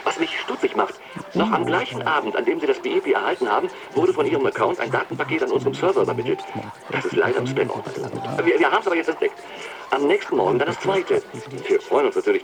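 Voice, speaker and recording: An actor playing a chatty, breezy company doctor in a radio drama, chummy and officious, heard at a distance over music. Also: male voice, medium pitch, very animated, very fast, gravelly. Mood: chummy, probing.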